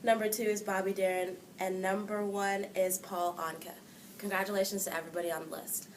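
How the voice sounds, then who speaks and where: A woman presents entertainment news as a scripted countdown for an amateur newscast. Her pitch is average for a woman, and there is slight noise behind her. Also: little pitch movement, a little fast. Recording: faint background noise.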